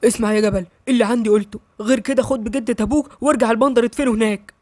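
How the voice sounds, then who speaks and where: A woman's voice: on the high side, fairly even intonation, rapid-fire, darkish tone, no room sound, lightly acted. A woman reading as a stern old village patriarch. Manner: commanding, scolding.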